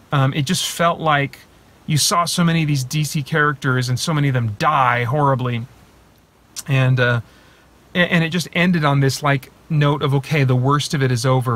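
A man's voice: a little high, fairly bright tone. Man rambling to camera in his car. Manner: rambling, thinking aloud with many pauses and restarts. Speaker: movie reviewer and channel host. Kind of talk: casual spoiler talk to camera. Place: inside a parked car. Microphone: phone camera at arm's length.

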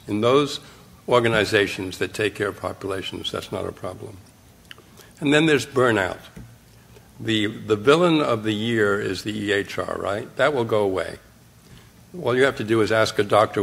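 A man's voice: fairly low pitch, creaky, fairly bright tone, slight background noise. An older man giving a conference keynote from a podium in a hotel conference room, speaking bluntly and wryly in short, punchy phrases, recorded from the audience. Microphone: podium mic, filmed from the audience.

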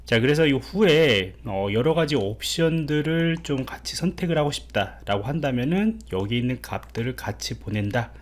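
Male coding tutor, mid pitch, animated intonation, easygoing explainer with fillers. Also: normal speed, hardly any pauses. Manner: relaxed explaining with many fillers and rhetorical questions. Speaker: programming instructor. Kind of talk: screen-recorded coding tutorial.

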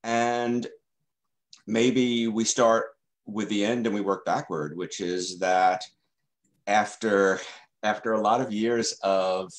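An older man who stutters, repeating sounds and leaning on fillers as he works through his thoughts. He speaks slowly in a low voice, as a guest on a video call from home. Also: fairly even intonation. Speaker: guest, journalist. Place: home room.

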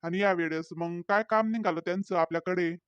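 Man voicing a teasing young cartoon husband, higher pitch. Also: steady tone, brisk, clean tone, dry recording, highs cut off, lightly acted. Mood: easygoing. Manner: talkative, wheedling, hesitant fillers. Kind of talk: comedy cartoon voice acting.

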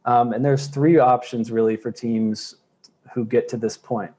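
A man's voice: medium pitch, fairly even intonation, unhurried, warm tone. A man in his thirties explaining conference slides from a room at home over a webcam, speaking in an explanatory way with frequent fillers. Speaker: crypto project presenter. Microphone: webcam on a video call.

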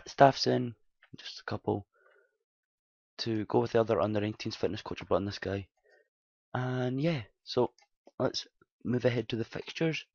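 A man with a slightly hoarse, dry voice casually talking through a football management game, rambling and correcting himself as he recaps results. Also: normal speed, long pauses, a bit bright, dry recording. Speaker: game commentator. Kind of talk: casual gameplay commentary. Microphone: desktop mic at home, quiet. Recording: dull low-fi mic.

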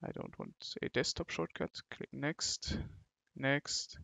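A man presents a software walkthrough with a gravelly edge to his voice. He gives instructions in short, halting phrases, recorded close and dry as a voice-over. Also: mid-range voice, huge pitch swings, no echo. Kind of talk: step-by-step tutorial narration. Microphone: close mic, screen-recording voice-over.

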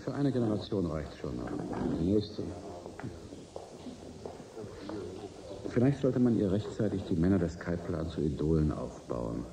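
An actor playing a pragmatic, wry and persuasive corporate negotiator in a German radio drama. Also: male voice, sits low, expressive, normal speed, gravelly, roomy sound, noisy background. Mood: wry, resigned. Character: pragmatic, haggling male corporate negotiator, wryly resigned.

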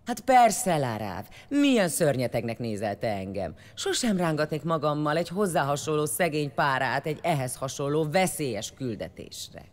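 A woman's voice: low voice, very animated, few pauses, in a large hall. An actress playing a vengeful, sardonic woman warrior leader in an audio drama, mocking and commanding. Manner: mocking, commanding, foul-mouthed.

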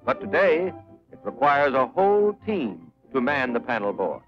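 Casting: American male narrator, 1940s promo film, animated delivery, music bed, dull old soundtrack. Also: mid-range voice, normal speed. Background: loud background music.

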